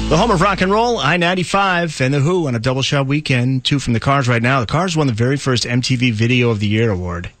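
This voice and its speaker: American rock radio DJ, dry studio sound. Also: male voice, medium pitch, a bit bright, no echo. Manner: polished, punchy announcer delivery. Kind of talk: upbeat classic rock radio patter and announcements.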